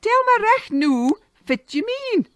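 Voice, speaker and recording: A woman's voice: lowish voice, wildly swooping pitch, brisk, breathy edge, no echo, studio quality, full character voice. A woman voicing a greedy, scheming male moneylender with a broad Doric Scottish accent. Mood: demanding.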